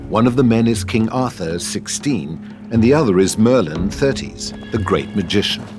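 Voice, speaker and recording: A man's voice: on the low side, lively intonation, moderate pace, over music. A man narrating a scripted drama, reading stage directions. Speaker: narrator of a script table read.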